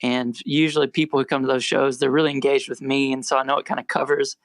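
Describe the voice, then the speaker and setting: A quiet-voiced young American man and musician, chatting in a relaxed, rambling way as a podcast guest. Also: mid-range voice, fast talker, no room sound. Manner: rambling, self-correcting, relaxed. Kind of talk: casual podcast interview.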